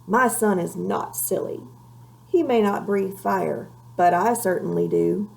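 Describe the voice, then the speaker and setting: A woman's voice: mid-range voice, a little slow, vocal fry, poor audio. A woman voicing a proud, protective father dragon in a storybook, firm and declarative. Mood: indignant.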